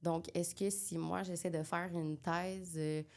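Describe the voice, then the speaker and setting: Young Québécois woman with a gravelly low voice. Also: flat delivery, a little slow, a little mellow. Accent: Québécois French. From Quebec. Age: young adult. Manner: chatty, laughing, sprinkles English into French. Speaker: podcast co-host. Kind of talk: casual chat.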